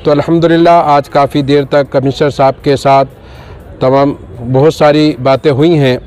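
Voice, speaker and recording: A man speaking to reporters outdoors, giving an earnest and steady appeal. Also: a little high, moderate pace, clear, resonant tone. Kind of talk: press statement.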